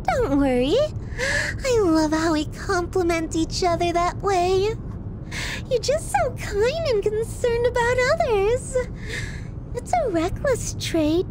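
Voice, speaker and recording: A woman's voice: big pitch swings, exaggerated voice. A woman playing a sadistic, possessive young yandere on the run in an intimate audio roleplay, speaking theatrically with menacing sweetness. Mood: teasing, smitten. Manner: theatrical, teasing, drawn-out phrasing with menacing sweetness. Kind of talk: intimate ASMR audio roleplay, addressing the listener. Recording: rumbling low background noise.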